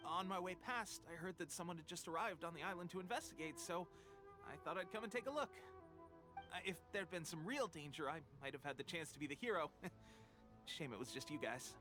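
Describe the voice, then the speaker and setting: A man's voice: a little high, fairly quick, music in the background, close to an everyday voice. A man playing an earnest coughing young soldier. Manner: earnest, coughing between lines. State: coughing, has a cold. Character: young male resistance soldier, earnest, sick with a cold. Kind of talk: video game cutscene voice acting.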